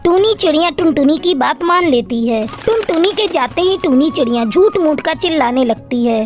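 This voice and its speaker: A woman's voice: high voice, lively intonation, normal speed, soft background music. A woman reading scripted narration for a children's cartoon story.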